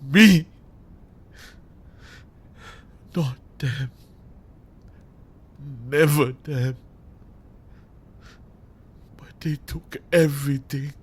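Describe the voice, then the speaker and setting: A man acting a grieving, unhinged father turned vengeful villain in a dramatic audio monologue, with menacing, anguished delivery and long pauses. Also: a little high, steady tone, husky, heavily acted. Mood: anguished rage.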